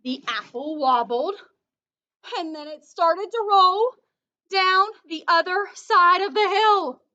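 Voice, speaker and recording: A woman's voice: very high voice, slow pace, bright, forceful tone, lo-fi sound. A woman reading a children's story aloud in a teacherly way, stopping to put questions to her young listeners. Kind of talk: expressive children's read-aloud with asides and questions.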